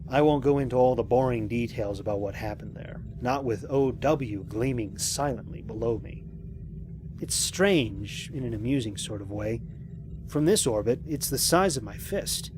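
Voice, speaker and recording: A man playing a lone spaceship commander and scientist in an audio drama, recording mission logs in a measured, reflective, precise way. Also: mid-range voice, animated, music in the background, lightly acted, poor audio. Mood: wry, wistful. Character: lone male spaceship commander, thoughtful scientist, quietly amazed.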